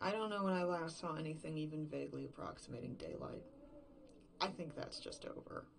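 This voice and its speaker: Horror podcast lead: actress playing an unraveling woman alone in an empty world, slightly low register, halting and sweary confessional, light background noise. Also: creaky. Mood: bleak resignation. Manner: rambling, halting, self-questioning, profane. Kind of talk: serial horror fiction podcast monologue, confessional.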